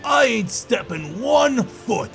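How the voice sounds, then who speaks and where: An arrogant male goblin trade prince in a fan audio drama. His voice is heavily creaky and sharp, swinging theatrically in pitch. He blusters and insults with greedy self-importance. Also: big pitch swings, takes it slowly, soft background music, full character voice. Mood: defiant scorn. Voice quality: gravelly, sharp.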